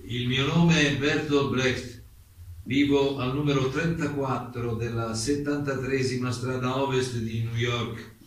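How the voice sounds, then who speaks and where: A man's voice: mid-range voice, steady tone, measured pace, natural voice. Echoey far-off guy playing exiled poet on the witness stand, formal n polite but insistent, stage drama. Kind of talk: staged dramatic reading of testimony. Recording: distant mic, very echoey room, faint noise.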